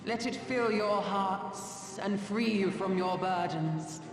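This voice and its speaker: An actress voicing a serene, seductive and haughty goddess in a video game, speaking in a grand, archaic, theatrical way. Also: female voice, mid-range voice, slow pace, natural voice. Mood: pitying, beckoning. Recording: echoing hall reverb, distant, music behind.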